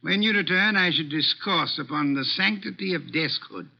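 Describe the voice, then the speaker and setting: Pompous, creaky-voiced detective. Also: male voice, on the high side, normal speed. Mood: pompous, brisk. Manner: pompous, ornate, exclaiming wording. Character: pompous, irritable, housebound genius detective, a man. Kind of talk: 1940s-50s radio drama acting. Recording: dull vintage radio recording.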